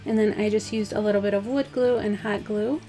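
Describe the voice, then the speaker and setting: A woman with a slightly hoarse, dry voice, casually talking through a DIY craft project step by step. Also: mid-range voice, a little fast, faint music behind. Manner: relaxed, chatty, step-by-step instructions with fillers. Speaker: craft vlogger. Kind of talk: casual DIY tutorial voice-over.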